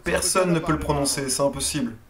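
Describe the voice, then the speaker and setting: A man's voice: on the high side, fast, fairly bright tone. A man reading a first-person motivational speech as a dubbed voice-over, in an earnest and emphatic way. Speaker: voice-over translator.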